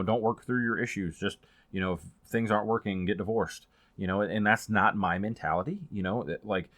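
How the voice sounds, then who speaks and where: A man talking casually as a book reviewer in a rambling, informal way that gets animated on points of emphasis. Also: low voice, no room sound. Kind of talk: casual chatty book vlog.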